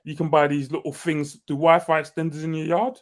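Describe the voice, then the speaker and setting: A man's voice: on the high side, little pitch movement. Jokey man on a video call. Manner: jokey, quick banter. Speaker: co-host. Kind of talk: casual live chat.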